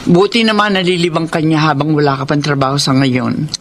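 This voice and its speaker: An actress playing a practical middle-aged Filipino wife in a radio drama, frank and exclamatory, kindly but firm. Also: female voice, sits low, steady tone, brisk. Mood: frank, reflective.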